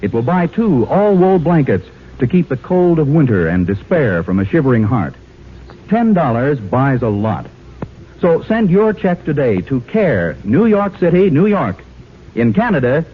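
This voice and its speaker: A male American announcer from mid-century radio, heard through a thin, phone-like vintage broadcast recording. His voice sits a little high and swings widely in pitch. The delivery is formal, earnest and measured, with a slightly husky, unpolished edge. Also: big pitch swings. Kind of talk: 1940s-50s radio charity appeal and network announcement. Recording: tinny, narrow-band vintage radio recording.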